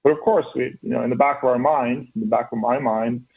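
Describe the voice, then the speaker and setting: A man's voice: mid-range voice, fairly even intonation, fast, vocal fry, slightly rough. A man in his thirties from Norway with a faint Norwegian accent, a startup founder answering interview questions earnestly, with many restarts. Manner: earnest, with frequent restarts and fillers. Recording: band-limited phone line.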